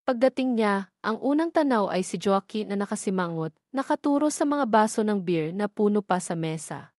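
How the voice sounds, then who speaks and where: A woman with a Filipino accent narrates a scripted romance audio drama. Her voice sits at a medium pitch. The recording is close and dry, with little room sound. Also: expressive, moderate pace, darkish tone, no echo. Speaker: story narrator.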